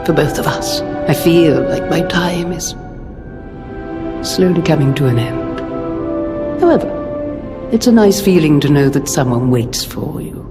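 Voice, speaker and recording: A solemn, genteel guardian. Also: female voice, deep voice, lively intonation, unhurried, pauses often. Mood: tender, wistful. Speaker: video game character. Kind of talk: scripted game cutscene dialogue. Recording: long reverb under music.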